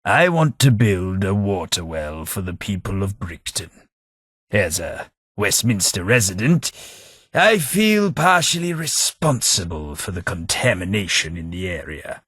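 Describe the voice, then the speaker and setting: An actor playing a polite, reserved former office clerk in a game, speaking with formal, measured, slightly wistful courtesy. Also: male voice, deep voice, very animated, fairly bright tone, breathy edge, no echo, studio quality, exaggerated voice. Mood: earnest. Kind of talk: game character dialogue.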